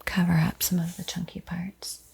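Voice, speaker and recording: A woman whispers painting instructions in a soothing, encouraging ASMR style, with a breathy, airy voice. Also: on the low side, little pitch movement, moderate pace, darkish tone. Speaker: art tutorial host.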